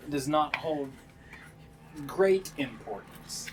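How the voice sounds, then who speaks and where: A man running a tabletop fantasy game, improvising narration and piecing his descriptions together haltingly. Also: fairly high pitch, expressive, background noise. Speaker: game master.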